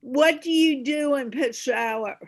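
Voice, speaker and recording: A woman's voice: sits high, measured pace. A woman hosting an online meetup, interviewing guests conversationally over a video call. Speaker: host.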